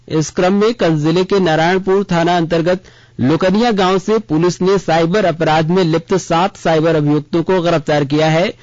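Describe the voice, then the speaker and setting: A man with a notably high voice reads a scripted news bulletin. The sound is very dry and close, with the dull, lo-fi tone of a radio broadcast. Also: a little mellow, no room sound. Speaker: radio newsreader.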